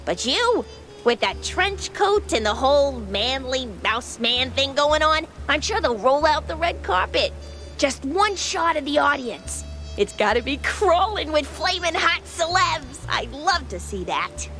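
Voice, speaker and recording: High, eager young mouse girl. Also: very animated, a little slow, a bit bright, soft background music, full character voice. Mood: excited, coaxing. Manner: bubbly, coaxing, slangy. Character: eager, cheeky young female mouse engineer. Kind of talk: animated noir game dialogue.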